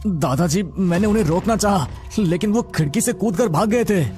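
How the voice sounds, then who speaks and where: Man acting an anxious, higher-voiced young man. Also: lively intonation, moderate pace, a little mellow, faint music behind. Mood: defensive. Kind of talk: animated story dialogue.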